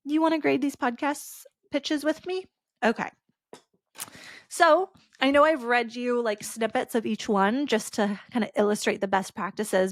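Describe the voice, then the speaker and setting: A woman's voice: on the high side, dry recording, clean studio sound. A woman hosting a solo podcast, talking casually with lots of "like" fillers, her voice stuffy and congested. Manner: chatty, casual, with frequent "like" fillers. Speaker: podcast host.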